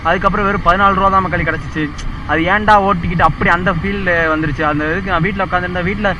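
A young man talks at a rapid clip in a slightly high voice. He rambles on to his viewers from an action camera on his moving motorbike. Clear road and wind noise runs under him the whole way. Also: steady tone, lo-fi sound. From India. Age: young adult. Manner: chatty, addresses viewers constantly, rambling repetitions. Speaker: motovlogger. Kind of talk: casual chat to viewers while riding. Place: outdoors on a moving motorbike, city streets. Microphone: helmet or chest action camera.

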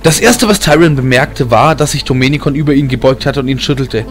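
A man's voice: medium pitch, a little fast. A man reading a fantasy story as a dramatic audiobook narrator. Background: music bed.

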